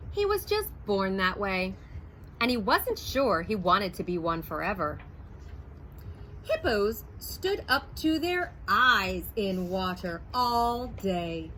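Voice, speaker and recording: A woman teacher slowly reading a picture book aloud to first graders, clear and expressive. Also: mid-range voice, slight background noise, rough recording. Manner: slow, clear, expressive storytelling. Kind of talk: read-aloud for young children.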